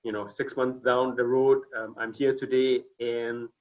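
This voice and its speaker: A man's voice: medium pitch, little pitch movement, measured pace, a little mellow, muffled recording. A man in his forties from Singapore, giving a casual company presentation on a video call, hesitant with many fillers and restarts.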